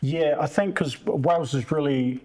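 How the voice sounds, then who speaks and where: A man's voice: a little high, moderate pace, a little mellow. A man hosting a casual interview, with frequent affirmations and hesitant, searching questions. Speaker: podcast host.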